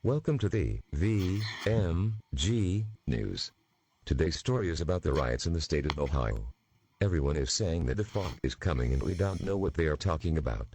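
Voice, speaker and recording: A man acting as a TV news anchor with a very low, husky voice. The scripted report is delivered in a presentational way with lively, swinging intonation. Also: moderate pace, dry recording, exaggerated voice. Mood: serious, presentational. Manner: presentational, announcing. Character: male TV news anchor reporting ominous rumors. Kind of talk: scripted newscast.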